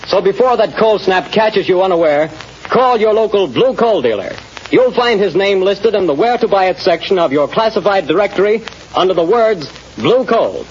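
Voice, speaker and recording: A male announcer reading a scripted commercial in 1930s radio style, formal and emphatic. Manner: emphatic, formal sales pitch. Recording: dull old radio recording, faint hiss.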